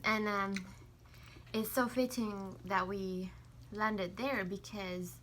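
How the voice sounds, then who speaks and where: A woman's voice: medium pitch, steady tone, slow pace, a bit bright, slight background noise. A woman talking casually in a food vlog, with a wry, teasing way of speaking. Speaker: food vlogger. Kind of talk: casual mukbang chat.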